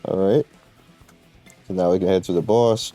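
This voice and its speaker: Deep-voiced man casually talking through a game. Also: steady tone, moderate pace, mellow, soft background music. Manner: informal, slangy, rambling explanations. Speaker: gamer presenting a game guide. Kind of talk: casual gameplay commentary.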